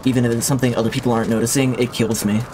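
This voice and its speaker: A man's voice: mid-range voice, level tone, very fast, background noise. A man streaming a video game who chats with his viewers in a rambling, reflective way, often restarting his sentences, on a streaming mic with a limiter. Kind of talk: casual chat while livestreaming a game.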